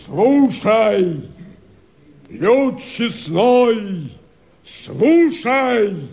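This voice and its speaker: A man's voice: extremely high pitch, vocal fry, full character voice. A man acting a fiery Cossack rebel rallying the people, declaiming verse in a radio drama. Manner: declamatory, slow, drawn-out delivery with shouts.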